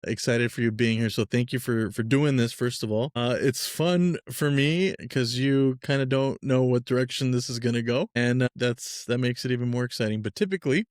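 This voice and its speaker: A man from Peru hosting a casual podcast interview, relaxed and upbeat, talking loosely with fillers. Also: mid-range voice, moderate pace, hardly any pauses, dry recording, clean studio sound. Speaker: podcast host.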